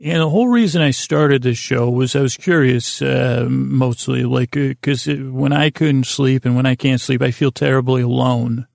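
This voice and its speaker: Creaky, meandering American man. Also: medium pitch, steady tone, a little mellow, dry recording, clean studio sound. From United States. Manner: meandering, halting, repeats himself, drifts into tangents. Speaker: podcast host. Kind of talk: rambling bedtime monologue.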